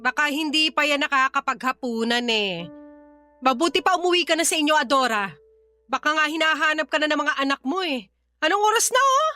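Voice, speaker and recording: A high, bright, exasperated Filipino mother. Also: expressive, brisk. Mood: weary annoyance. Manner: scolding, firm, emphatic. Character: exasperated, loyal middle-aged wife and mother. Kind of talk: Filipino radio drama acting. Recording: music behind the voice.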